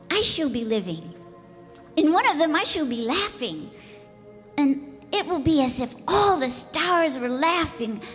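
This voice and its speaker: A woman playing a gentle, wistful young boy from the stars in a radio play, speaking tenderly and deliberately. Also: high voice. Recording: reverberant hall with music.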